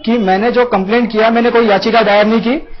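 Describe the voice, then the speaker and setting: A man arguing as a guest in a debate, insistent and halting, often repeating himself. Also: high voice, steady tone. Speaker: debate guest, complainant in the case. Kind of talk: argumentative debate speech. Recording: dull lo-fi broadcast audio.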